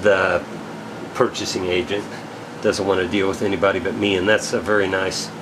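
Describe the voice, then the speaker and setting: A man giving a casual, unscripted product update as an equipment dealer, in a rambling, halting, matter-of-fact way. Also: low voice, little pitch movement, noisy background.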